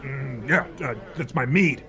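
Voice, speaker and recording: A man's voice: mid-range voice, measured pace, creaky, sharp tone, dull audio. A man voicing an indignant, argumentative cutthroat in a fantasy tavern sketch, incredulous and quibbling.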